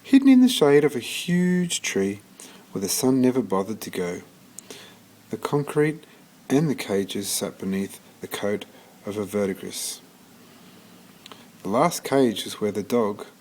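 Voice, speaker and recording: A man reading a children's picture book aloud as scripted narration, expressively and with deliberate pauses. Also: on the low side, a little mellow, rough recording. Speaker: storybook reader.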